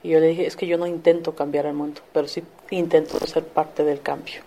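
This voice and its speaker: A woman's voice: low-pitched, flat delivery, fairly quick, mellow. A middle-aged woman from Mexico, earnestly recounting her community work in a documentary interview with everyday fillers. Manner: plain, earnest storytelling with fillers. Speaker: community volunteer coordinator.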